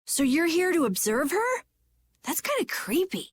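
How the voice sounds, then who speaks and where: A woman voicing an earnest, self-doubting young boy in an animated role-playing video game. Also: extremely high pitch, a little slow, dry recording, exaggerated voice. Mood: earnest, curious. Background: faint background music.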